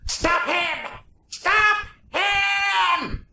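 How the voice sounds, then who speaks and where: A shrill old sea witch, acted out by a male reader, gloats greedily in a very echoey space. The villain shrieks in slow, drawn-out storybook menace with a creaky edge. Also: fairly even intonation, bright, forceful tone, raised voice, exaggerated voice. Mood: furious. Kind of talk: children's storybook reading. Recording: echoey, distant, faintly noisy old recording.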